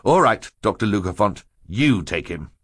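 A man's voice: on the low side, very animated, moderate pace, husky, no room sound, studio quality. A man voicing a fussy, officious middle-aged guardian in a storybook, pompous and admonishing. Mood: resigned.

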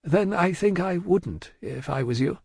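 Casting: discreet anxious old man of business done by a male narrator, careful and polite, husky, dry recording. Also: high voice, lively intonation, a little mellow, no echo, pitched above the performer's own voice, heavily acted. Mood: hesitant, cautious. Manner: hesitant, courteous, measured, fussy. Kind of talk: acted audiobook dialogue from a classic novel.

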